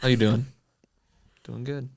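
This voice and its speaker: A man's voice: medium pitch, fairly even intonation, a little slow, vocal fry, darkish tone, no room sound. A man talking casually and conversationally as a podcast co-host, close to the microphone. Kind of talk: casual banter on a church podcast.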